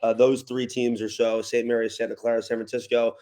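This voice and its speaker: A man's voice: medium pitch, level tone, a little fast, dark tone. Emphatic man chatting casually about sports. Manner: emphatic, repeats questions for effect. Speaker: podcast guest, arena PA announcer.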